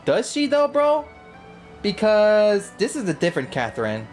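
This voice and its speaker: A man's voice: high-pitched, wildly swooping pitch, moderate pace, a little mellow, faint music behind. A man live streaming a game, commenting casually and slangily, often stumbling over and repeating his phrases.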